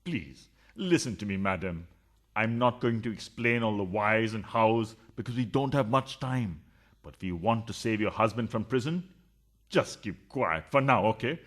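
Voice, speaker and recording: A man's voice: lowish voice, lively intonation, moderate pace, a bit bright. A man playing a scheming, philandering householder in a farcical radio play, sarcastic and evasive. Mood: exasperated. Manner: sarcastic, evasive, fumbling through excuses. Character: flustered, scheming philandering householder, sarcastic middle-class husband.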